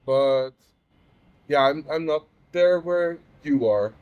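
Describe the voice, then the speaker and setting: Man, 30s, from the Netherlands, halting, open, personal conversation. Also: on the high side, smooth, no room sound, muffled recording. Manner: halting, many fillers and restarts. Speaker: patient advocate interviewer.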